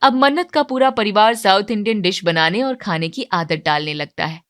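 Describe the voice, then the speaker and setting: A woman storyteller reads scripted moral-tale narration. Her voice sits at a medium pitch. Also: normal speed.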